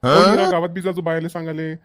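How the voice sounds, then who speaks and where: A man's voice: on the high side, fast, fairly bright tone, no echo, studio quality, lightly acted. A man voicing a practical, bossy but agreeable middle-aged village father in a cartoon comedy, chatty and emphatic, with a Varhadi Marathi accent. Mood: planning, brisk.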